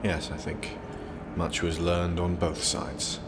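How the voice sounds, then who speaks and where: An actor playing an aloof, sardonic young prince and magician in an audio drama, speaking formally, dryly and guardedly. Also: male voice, low voice, flat delivery, slow, background noise. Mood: awkward, restrained. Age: young adult. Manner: formal, dry, guarded speech.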